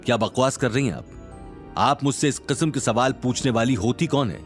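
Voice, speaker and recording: A man's voice: medium pitch, normal speed, soft background music, close to an everyday voice. A man voicing a proud, formal train captain in an audio drama, mixing in English phrases. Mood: angry, stern. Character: proud, indignant male train captain, stern but deferential.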